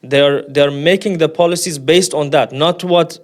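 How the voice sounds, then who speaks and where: A man's voice: a little high, steady tone, normal speed. A man speaking as a podcast guest in an opinionated discussion, making his points emphatically and repeating them.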